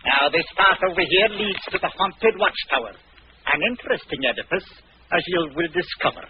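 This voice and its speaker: An actor playing a menacing, imperious mad professor in 1940s radio drama style, with pedantic, theatrical speech. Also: male voice, high voice, animated, normal speed. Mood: sinister, coolly menacing. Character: sinister mad male professor, menacing and gleeful about experiments. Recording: dull, noisy old radio recording.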